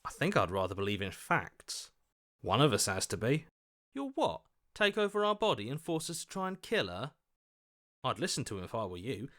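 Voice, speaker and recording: Doubtful everyman hero, lively mid-range voice. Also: medium pitch, normal speed. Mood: wary, incredulous. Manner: questioning, hesitant, reasoning aloud. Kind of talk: video game voice acting.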